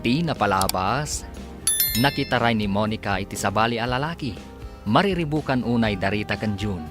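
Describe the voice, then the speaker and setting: Animated male radio drama announcer over music. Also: lowish voice, moderate pace. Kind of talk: scripted radio narration.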